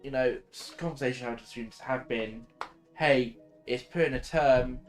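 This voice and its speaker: A man's voice: mid-range voice, moderate pace. A tired man chatting casually as a livestream guest, hesitant and often trailing off. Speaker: livestream guest and roommate of the host. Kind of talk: casual chat. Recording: music behind the voice. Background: music.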